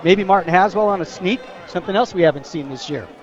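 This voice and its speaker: A man's voice: high voice, fast talker, some chatter behind. An American man giving conversational, home-team analysis between plays as a color commentator on a live football radio broadcast. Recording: dull lo-fi sports radio broadcast.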